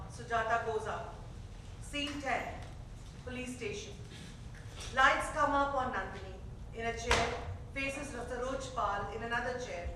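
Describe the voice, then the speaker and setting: A woman reading out stage directions as scripted narration in a play reading. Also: a little high. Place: theater stage, recorded from afar. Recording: echoey theater, distant mic, faint noise.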